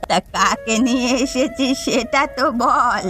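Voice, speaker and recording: High-voiced cartoon grandmother acted by a woman. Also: measured pace, pushed and bright, soft background music. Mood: teasing, curious. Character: affectionate old village grandmother. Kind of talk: cartoon fairy-tale voice acting.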